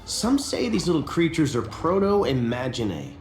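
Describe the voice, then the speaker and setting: An actor playing a gentle, helpful local in an anime game, explaining things reassuringly. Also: male voice, a little high, big pitch swings, moderate pace. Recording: roomy game audio with light music.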